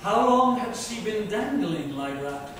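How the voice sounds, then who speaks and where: A man's voice: high-pitched, lively intonation, unhurried. A middle-aged man reading a book aloud as dramatic scripted narration. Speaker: reader. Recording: echoey room, distant, noisy.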